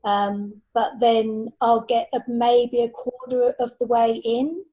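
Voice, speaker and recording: A woman's voice: fairly high pitch, little pitch movement, slow pace, warm tone, rough recording. A woman author chatting on a panel in a hesitant, thinking-aloud way. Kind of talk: casual panel chat.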